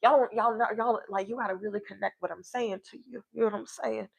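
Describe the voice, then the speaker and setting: A woman's voice: on the high side, fairly even intonation, brisk, vocal fry, slightly rough. A woman talking casually and reflectively to livestream viewers, rambling and emphatic, often checking that her listeners follow her. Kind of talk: casual personal livestream chat, reflective and motivational.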